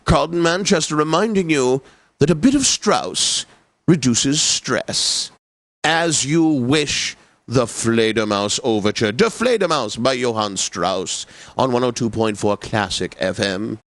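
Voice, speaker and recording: Game radio host: mid-pitched man, pompous and whimsical, theatrical classical-music announcing, very dry booth sound. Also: expressive, a little slow, a bit bright, dry recording. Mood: grandly whimsical. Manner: pompous, flamboyant, precise announcing.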